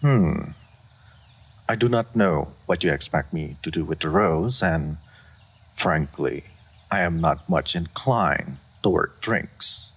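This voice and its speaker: A man's voice: rumbling low, expressive, slightly rough, full character voice. A man playing a regal, aloof dragon in human form, speaking formally and with stoic restraint in a fantasy audio roleplay. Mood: cool, curious. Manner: formal, measured, stoic speech with deliberate pauses.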